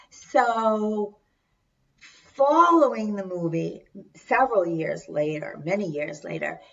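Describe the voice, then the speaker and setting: A woman with a raspy, congested voice hosting a video, chatting casually to viewers with conversational, emphatic asides. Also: mid-range voice. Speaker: host of a video channel. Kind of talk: casual chat to viewers, recounting film trivia. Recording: distant mic, dull lo-fi sound.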